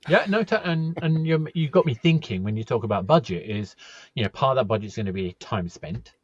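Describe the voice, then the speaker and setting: A male podcast host with a husky voice chats easily with his guests and drops playful asides. His sound is dull and lo-fi, from a remote microphone in a dry space. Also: mid-range voice, lively intonation, a little fast, dry recording. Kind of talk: casual podcast discussion.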